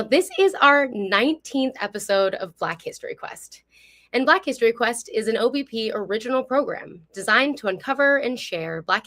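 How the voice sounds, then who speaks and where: A woman's voice: a little high, a bit bright. A woman presenting as the host of a history program, reading a scripted introduction in a welcoming, upbeat manner on a live online stream.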